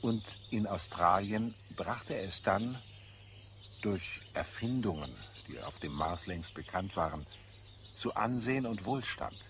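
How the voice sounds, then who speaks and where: A low-voiced, earnest scholar in a radio drama. He speaks in a measured, solemn way, like a visionary idealist. Birdsong is clearly audible behind him. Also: lively intonation, a bit bright, slight background noise. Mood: solemn, confiding. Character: earnest idealistic male scholar, secretly half Martian. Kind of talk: German radio play acting. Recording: clear background birdsong and ambience. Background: birdsong in a garden scene.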